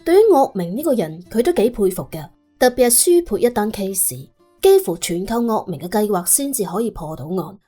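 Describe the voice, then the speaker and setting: A woman narrating an audiobook in animated storytelling style. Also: mid-range voice, fairly quick, slightly rough, faint music behind.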